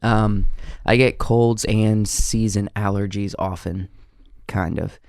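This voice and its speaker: A man with a Southern American accent, co-hosting a podcast in relaxed, rambling chat while stuffy from a slight cold. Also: lowish voice, slow pace, creaky, smooth, dry recording, clean studio sound. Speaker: podcast co-host. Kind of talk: casual chat.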